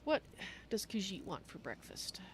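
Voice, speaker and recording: A woman voicing a gentle, fussy female cat-folk traveler in a game roleplay, musing aloud about herself in the third person. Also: mid-range voice, unhurried. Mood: cheerful. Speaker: livestream roleplayer. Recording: steady background noise.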